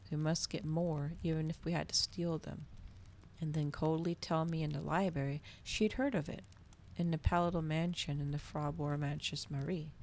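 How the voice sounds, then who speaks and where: A woman reading a novel aloud in a soft, cozy ASMR style, with slow, dramatic literary phrasing. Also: deep voice, a little hiss or hum. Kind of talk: cozy ASMR storybook narration.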